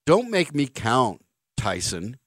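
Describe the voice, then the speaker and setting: A man's voice: mid-range voice, wildly swooping pitch, slow, husky, no echo, studio quality. A man in his fifties hosting sports talk radio, making wry, sarcastic jokes and drifting into rambling anecdotes. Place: radio studio. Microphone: studio microphone.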